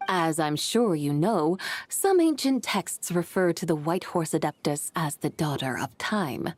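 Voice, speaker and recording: A woman playing a composed, wry immortal woman who speaks formally and measuredly, gently teasing, in an anime game. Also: deep voice, expressive, unhurried, no echo, faint music behind. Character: composed, wry female immortal adeptus with sly wisdom.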